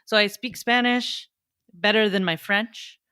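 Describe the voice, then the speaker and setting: A woman's voice: medium pitch, steady tone, measured pace, pushed and bright, smooth, dry recording, clean studio sound. A woman with a North American accent, from the United States, chatting casually and informally as a podcast host. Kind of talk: casual conversational podcast chat.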